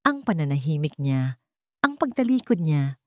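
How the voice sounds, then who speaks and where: A woman's voice: low-pitched, big pitch swings, moderate pace, warm tone, no echo, studio quality. A woman reading a scripted dramatic story as its narrator.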